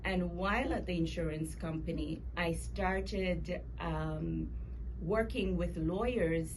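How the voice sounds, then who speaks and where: A Jamaican woman guest speaks in a fairly low voice. She recounts her path in a measured, articulate way. There is noticeable background noise in the recording. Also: low-quality recording. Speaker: guest author and lawyer. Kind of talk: interview conversation.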